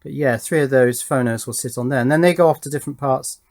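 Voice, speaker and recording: A man's voice: mid-range voice, fast, darkish tone. A man giving a casual hands-on electronics demonstration, musing aloud with pleased enthusiasm as he works. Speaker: electronics hobbyist presenter.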